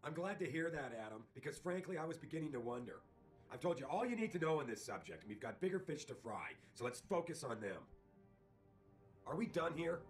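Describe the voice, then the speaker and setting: An actor playing an authoritative, evasive company CEO in a video game, persuasive and in command. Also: male voice, fairly high pitch. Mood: brisk.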